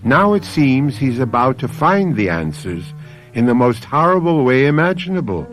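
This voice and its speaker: A man narrating a radio mystery from a script in an ominous, theatrical manner. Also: medium pitch. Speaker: announcer and narrator.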